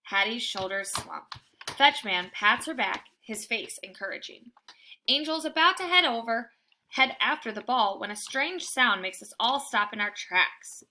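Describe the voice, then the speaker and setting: A woman narrates a children's book with dramatic, deliberate phrasing. Her voice is fairly high and bright, and it moves through a wide range of pitch as she tells the story. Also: animated, a little hiss or hum. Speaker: read-aloud storyteller.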